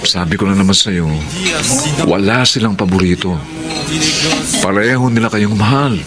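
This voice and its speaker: A male actor as a caring older cousin in a radio drama. He has a lowish voice and a chiding, concerned manner, over loud music. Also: moderate pace, close to an everyday voice.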